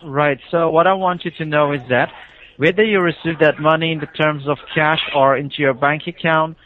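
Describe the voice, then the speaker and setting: A man's voice: a little high, steady tone, moderate pace, a little hiss or hum. A man with an Indian accent talking over the phone as a caller, explaining a procedure in an insistent, repetitive, persuasive way. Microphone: telephone call. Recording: tinny, band-limited phone line.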